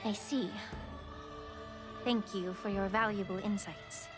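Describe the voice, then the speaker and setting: A woman voicing a poised, gracious game character, a shrewd dragon-clan healer who speaks in measured, polite, formal phrases. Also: medium pitch, fairly even intonation, lightly acted. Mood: composed, earnest. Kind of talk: scripted video game voice acting. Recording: game music behind the voice.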